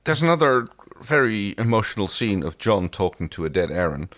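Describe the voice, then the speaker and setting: A man's voice: lowish voice, big pitch swings, fairly quick, fairly bright tone. A man co-hosting a casual recap podcast, drily fussy about details. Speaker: podcast co-host. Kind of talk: casual episode recap chat.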